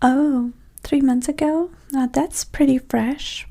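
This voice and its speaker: A woman doing a soft-spoken, often whispered ASMR roleplay as a vain, flirty date with a breathy, sassy, condescending manner. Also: high-pitched, fairly even intonation, takes it slowly.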